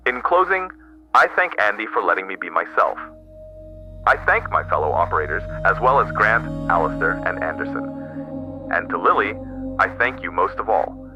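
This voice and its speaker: An actor as deep-voiced operator on muffled radio. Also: very animated, moderate pace, pushed and bright, heavily acted. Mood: sincere farewell. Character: grateful, dignified male radio operator saying goodbye. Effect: radio transmission. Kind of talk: radio drama farewell speech. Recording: muffled band-limited radio, music underneath.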